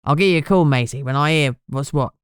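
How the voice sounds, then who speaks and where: A woman's voice: deep voice, fast talker, clear, resonant tone, no room sound, studio quality, close to an everyday voice. A woman voicing a shambling, streetwise male ghoul in an audiobook, with a thick Cockney accent and cheeky, slangy talk. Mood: teasing, sly. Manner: broad slangy speech, cheeky endearments. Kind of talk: audiobook character dialogue.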